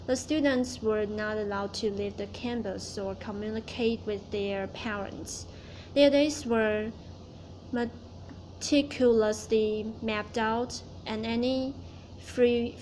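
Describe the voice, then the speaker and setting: A woman's voice: mid-range voice, a little mellow, a little hiss or hum, rough recording. A woman student giving a prepared class speech, reciting it carefully and restarting words she stumbles on.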